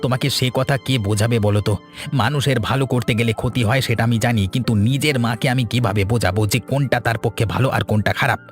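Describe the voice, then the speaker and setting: A man's voice: medium pitch, fast, faint music behind, lightly acted. A man playing an irritable, bossy young village son in a cartoon, scolding and exasperated. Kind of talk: Bengali cartoon voice acting.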